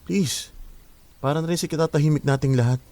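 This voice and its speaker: An actor playing a polite but exasperated young son in a radio drama. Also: male voice, on the high side, brisk, mellow, natural voice. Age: young adult. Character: flustered young man caught in the middle. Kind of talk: Filipino radio drama acting.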